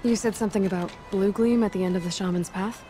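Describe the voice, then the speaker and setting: An actress playing a practical, self-possessed young huntress in a video game, matter-of-fact and questioning in manner. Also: female voice, mid-range voice, fairly even intonation, fast talker, dark tone, a little hiss or hum, lightly acted. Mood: curious, businesslike. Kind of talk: video game dialogue acting.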